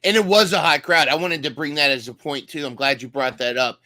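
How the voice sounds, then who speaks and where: A man's voice: on the high side, fairly even intonation, brisk, sharp tone, no room sound. A man co-hosting a casual recap podcast, talking enthusiastically and often restarting his sentences. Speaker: podcast co-host.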